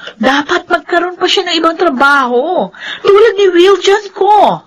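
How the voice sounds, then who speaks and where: A woman's voice: very high-pitched, lively intonation, a little slow, a bit bright, exaggerated voice. An actress in a radio play voicing a boastful, well-off woman who gushes about her husband's money. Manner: boastful, gushing. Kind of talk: radio drama acting.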